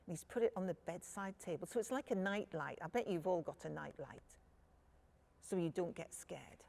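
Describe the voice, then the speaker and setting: A woman's voice: fairly low pitch, normal speed, gravelly, a little mellow. A woman reading a picture book to young children, phrasing things simply and speaking to her listeners directly. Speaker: children's storyteller.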